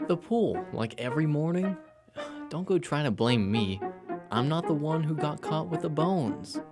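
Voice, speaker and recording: An actor playing a defensive, accusatory young college student in an audio drama, insistent and dismissive. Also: male voice, a little high, animated, measured pace, over music. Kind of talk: scripted audio drama acting.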